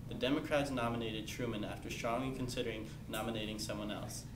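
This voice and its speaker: A young man giving a scripted news report in an amateur newscast, somewhat halting and repeating words. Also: medium pitch, fairly even intonation. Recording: echoey room with clear background noise.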